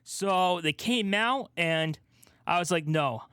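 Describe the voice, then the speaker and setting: Man, higher-pitched voice, podcast co-host, casual movie chat, animated storyteller, dry close sound. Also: slow, smooth, no echo.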